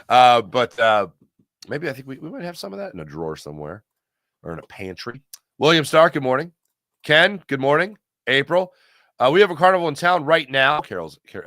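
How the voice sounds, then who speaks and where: Bright-voiced, emphatic American man. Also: mid-range voice, dry recording, clean studio sound. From Pennsylvania, United States. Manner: emphatic, repeats phrases for effect. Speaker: host of a sports talk livestream. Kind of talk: casual sports commentary and chat with viewers.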